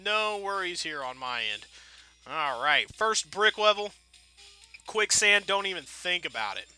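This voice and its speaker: A man's voice: high-pitched, big pitch swings, a little slow, bright, forceful tone, faint music behind. A man giving casual, rambling commentary as he plays a game, playful and full of folksy mild exclamations. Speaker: gameplay video host.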